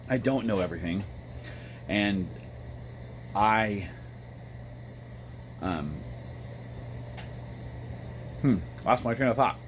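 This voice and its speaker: An American man with a fairly low voice talks off the cuff in a loose, rambling vlog style. His delivery is halting, with pauses between short phrases. There is noticeable noise in the recording. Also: expressive. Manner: halting, frequent throat clearing between phrases. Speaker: amateur radio vlogger. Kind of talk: casual rambling monologue.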